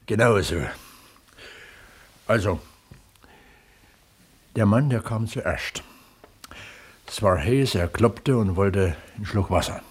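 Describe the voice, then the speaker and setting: An actor playing a modest, colloquial mine worker and amateur writer in a German radio drama from the 1970s. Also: male voice, fairly low pitch, takes it slowly, long pauses, husky, no room sound. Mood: reminiscing, plain. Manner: plain, colloquial, unassuming. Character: plain-spoken working-class miner, modest amateur writer and host.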